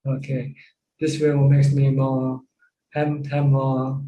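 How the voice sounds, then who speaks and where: A male Buddhist teacher with a Thai accent speaks in a very mellow, even voice with little rise and fall. He teaches informally, repeating and restarting phrases. The call has a strongly echoing, roomy sound. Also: medium pitch, muffled recording, slight background noise. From Thailand. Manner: repetitive, halting phrasing. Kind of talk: informal Dhamma teaching with questions. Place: Zoom call.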